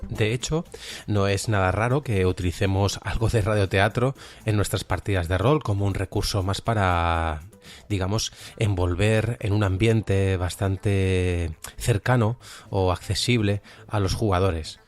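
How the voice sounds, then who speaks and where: A man with a Spain Spanish accent presenting a podcast in an explanatory, measured way. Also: low-pitched. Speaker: podcast host. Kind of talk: podcast presentation, semi-scripted. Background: music.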